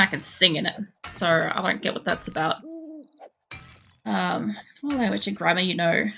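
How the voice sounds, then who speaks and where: Gravelly, bright-voiced woman streamer chatting. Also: a little hiss or hum. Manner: mostly monotone, jumps much higher when excited; rambling, lots of "like" and swearing. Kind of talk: casual game stream chat.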